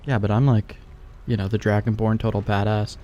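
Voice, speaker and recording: Casual, low-pitched male gamer. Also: little pitch movement, brisk, dark tone, dull audio, a little hiss or hum. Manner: laid-back, slangy, thinks aloud. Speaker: let's play host. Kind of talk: casual gameplay commentary. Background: faint game ambience.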